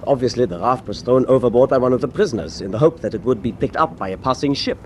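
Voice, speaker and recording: An actor playing a dutiful, deferential German first officer in a radio drama, formal and precise. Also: male voice, medium pitch, fast, a little mellow, slight background noise, close to an everyday voice. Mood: dutiful, reporting.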